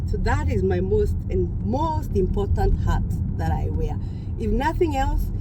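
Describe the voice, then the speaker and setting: A Kenyan woman in her fifties with a Kikuyu accent, chatting casually to her viewers with many restarts. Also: mid-range voice. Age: 50s. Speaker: vlogger. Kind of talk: casual vlog talk to viewers. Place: outdoors near a busy street. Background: passing traffic.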